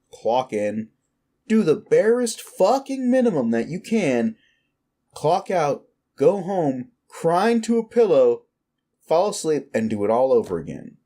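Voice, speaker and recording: A man's voice: on the high side, warm tone. A man playing a smug, sleazy werewolf boss in an audio roleplay, talking down to the listener in a mocking, patronizing drawl. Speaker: office boss.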